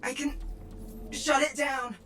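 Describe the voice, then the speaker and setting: An actress playing a devoted young support guide in a video game, speaking earnestly and with steady urgency. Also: female voice, sits high, slow pace, heavy background noise. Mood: devoted resolve.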